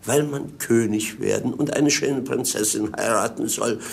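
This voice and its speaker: An actor playing a wry, earthy servant to a prince in a 1950s German radio play, sardonic and theatrically exasperated. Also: male voice, medium pitch, unhurried, creaky. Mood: mock exasperation.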